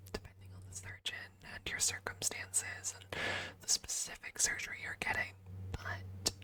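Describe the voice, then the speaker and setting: A woman streamer chatting casually and informally in an ASMR livestream, thinking aloud close to the microphone. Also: pushed and bright. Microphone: close microphone adjusted for ASMR.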